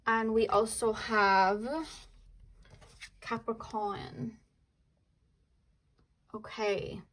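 A woman's voice: fairly high pitch, flat delivery, drawn-out words, a bit bright, low-quality recording. A young woman with a Welsh accent giving a casual tarot reading, chatting in a rambling way full of fillers and "like". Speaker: tarot reader.

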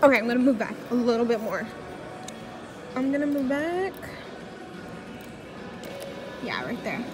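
A young woman vlogging casually in a large indoor mall, chatty and pleading excitedly at a claw machine, recorded on a handheld phone. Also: on the high side, fairly quick, over music, rough recording. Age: young adult. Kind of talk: casual vlog commentary. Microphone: phone camera at arm's length.

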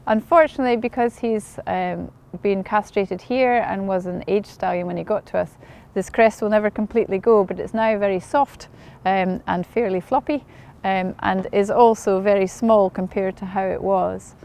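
A woman vet in her forties explaining on camera in a stable yard, measured and matter-of-fact with frequent ums, on a lapel mic. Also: medium pitch, fairly even intonation, gravelly, darkish tone. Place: outdoor stable yard by a brick wall.

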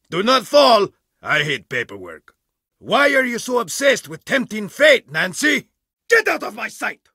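A sharp, high male voice with big swings of pitch, playing a menacing tomb archaeologist in a game. He is curt, imperious and sardonic, speaking slowly and deliberately. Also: husky, no echo, exaggerated voice. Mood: dry sarcasm, then furious. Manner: curt, imperious, dry sarcasm. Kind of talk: video game voice acting.

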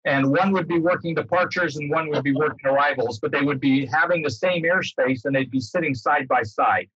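A man's voice: on the high side, fairly quick, fairly bright tone, breathy edge. An older American man giving an informal explanation over a video call, rephrasing himself as he goes. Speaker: guest speaker, former air traffic controller. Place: indoors, book-lined home room. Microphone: computer mic on video call. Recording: dull, roomy, lo-fi video-call sound.

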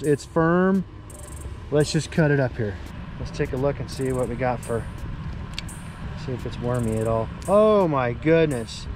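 A man's voice: fairly high pitch, background noise. Enthusiastic man vlogging outdoors. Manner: enthusiastic, coaching and narrating on the go. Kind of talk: outdoor camping vlog. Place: outdoors at a forest lakeside and campsite.

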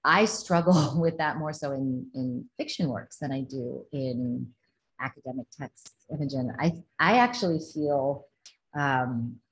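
Woman translator, very deep voice, thoughtful panel answers, frequent ums, laptop call from home. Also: unhurried, poor audio. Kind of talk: online panel discussion, reading audience questions. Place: home living room indoors. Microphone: laptop video call.

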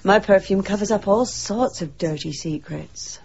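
A woman's voice: medium pitch, measured pace, creaky, a little mellow, husky, muffled recording. An actress playing a saucy, gossipy village woman in a radio drama, with a teasing, knowing, colloquial way of talking. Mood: confiding.

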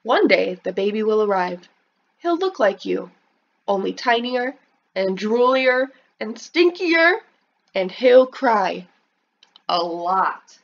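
A woman reads a picture book aloud to her class at a slow, patient pace, pausing to ask the children about the pictures. She raises her voice high for the kids in the story. The recording is a bit dull and lo-fi. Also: sing-song, gravelly. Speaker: preschool teacher.

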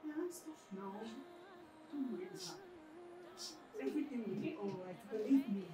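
An actress playing a caring young friend, soothing and reassuring. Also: female voice, high voice, very animated, normal speed, over music. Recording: echoey room, distant mic, noisy.

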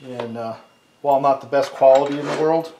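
A man's voice: medium pitch, fairly quick, highs cut off. Folksy man demonstrating in a noisy workshop. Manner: relaxed, folksy, talks while working with tools. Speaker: small engine repair channel host. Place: cluttered home workshop at a workbench. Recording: echoey workshop with loud tool noise.

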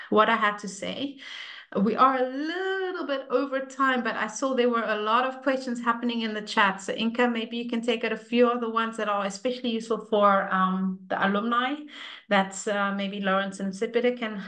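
A woman's voice: fairly high pitch, normal speed, bright, forceful tone. A woman in her thirties hosting an online webinar over a video call, chatting casually with many fillers. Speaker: webinar host, enrollment staff.

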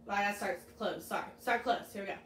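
A young woman leading a children's chapel lesson from her living room couch, talking brightly and animatedly to the kids. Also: medium pitch, fast talker, a little hiss or hum. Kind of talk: children's devotional and craft lesson. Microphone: camera a few feet away. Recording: echoey room, mic at a distance.

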